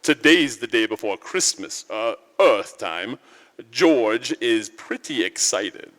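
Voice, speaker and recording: An actor playing a calm, authoritative senior angel who narrates a 1940s-style radio drama, recorded live in a church. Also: male voice, mid-range voice, slow pace. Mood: grave. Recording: live church hall, long reverb.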